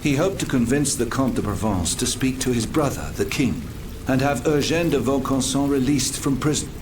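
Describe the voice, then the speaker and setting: A man playing a troubled, earnest French gentleman scientist in a video game, formal and theatrical. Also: medium pitch, moderate pace, background noise, lightly acted. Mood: grave, earnest. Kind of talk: dramatic video game cutscene acting.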